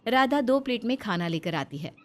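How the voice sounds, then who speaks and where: A woman's voice: on the high side, animated, a little fast, slight background noise. A woman reading scripted narration for a story as its narrator.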